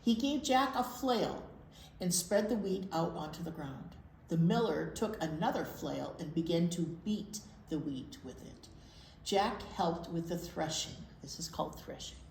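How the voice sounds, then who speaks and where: A woman's voice: fairly low pitch, expressive, a little slow, darkish tone. A woman reading a picture book aloud to young children, explaining simply and asking them questions. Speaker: children's library storytime reader. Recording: roomy echo with clear background noise.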